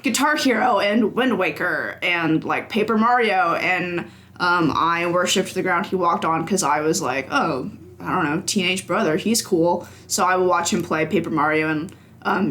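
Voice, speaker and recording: Bright-voiced young games writer, rambling. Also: medium pitch, normal speed. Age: young adult. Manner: relaxed, rambling, giggly. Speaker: podcast guest, games journalist. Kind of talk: casual interview chat. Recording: echoey room recording.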